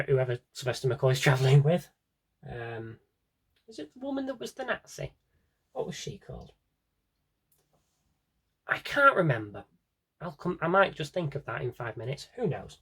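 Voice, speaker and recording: A man with a slightly husky voice rambles casually to viewers as a collector, thinking aloud and interrupting himself in a wry way. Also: mid-range voice, very animated, moderate pace, long pauses. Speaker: collector showing his audio drama CDs. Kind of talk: casual rambling vlog chat.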